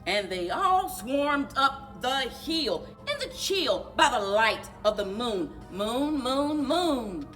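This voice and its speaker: A woman reading a children's storybook aloud in an animated, rhythmic singsong for a library story time. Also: fairly high pitch, slow pace, hardly any pauses, soft background music. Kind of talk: children's storybook read-aloud with chanted refrains.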